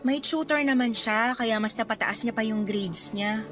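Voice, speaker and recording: A woman playing a dutiful teenage schoolgirl with a Filipino accent in a radio drama, polite but defensive and quietly resentful. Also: fairly high pitch, moderate pace, soft background music, natural voice. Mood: defensive, sulky. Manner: polite, defensive, subdued sulking.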